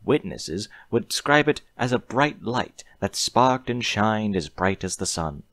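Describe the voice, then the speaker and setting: A man with a fairly low voice narrates a written story in audiobook fashion. His pitch moves in lively, animated swings as he tells it. The sound is dry, with very little room to it. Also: a little slow, dry recording. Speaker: narrator reading fan fiction aloud. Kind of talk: scripted audiobook-style narration.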